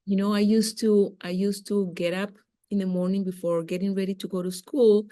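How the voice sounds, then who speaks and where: A woman's voice: mid-range voice, level tone, normal speed, mellow, no room sound. A middle-aged woman from Costa Rica, a diplomat, answering interview questions thoughtfully with small repetitions. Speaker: guest diplomat.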